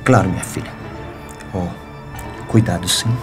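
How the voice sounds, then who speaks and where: A fatherly, cautious priest played by a man with a fairly low Brazilian voice. He speaks gently and with measure, radio soap style, over clearly audible music. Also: normal speed, natural voice. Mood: calm, cautious.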